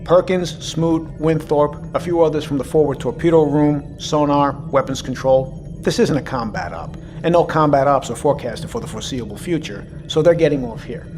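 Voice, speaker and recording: A man playing a grave, weary submarine commander in an audio drama, measured and blunt in a military way. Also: a little high, lightly acted. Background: music.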